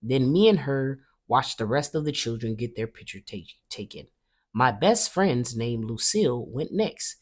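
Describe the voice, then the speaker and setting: A woman reading a children's story aloud with expressive narration. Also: a bass voice, a little slow. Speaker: storybook reader.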